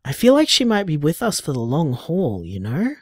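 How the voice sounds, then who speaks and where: A devoted partner in an audio drama, leaving intimate voicemails in a mid-pitched voice. The delivery is candid and conversational, with lively swings of pitch, recorded close and dry. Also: normal speed, slightly rough, no echo. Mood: tender, hopeful. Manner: candid, tender, conversational, sighing, mild swearing. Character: affectionate, emotional partner leaving voicemails for lover.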